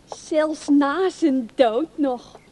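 An actress playing a nosy, know-it-all gossip in a radio play. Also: female voice, high voice, very slow, dark tone. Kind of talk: Dutch radio play acting. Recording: noisy old radio drama recording.

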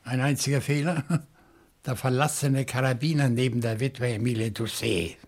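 An actor playing a calm, probing questioner in a German radio crime drama, speaking deliberately and insistently. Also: male voice, mid-range voice, close to an everyday voice. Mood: grave, deliberate. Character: calm, probing man questioning a suspect, Monsieur Fougère.

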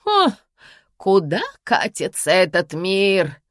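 A woman voicing a capricious, theatrical mother in her seventies, whiny and melodramatic, with a lively, swooping pitch. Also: slow, no echo, studio quality. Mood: indignant. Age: 70s.

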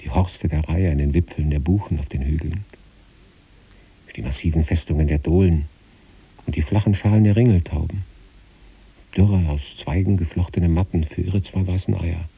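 Very dark, deep husky male narrator. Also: steady tone, normal speed. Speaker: narrator reading a literary story. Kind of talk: scripted literary narration in the first person, as letters.

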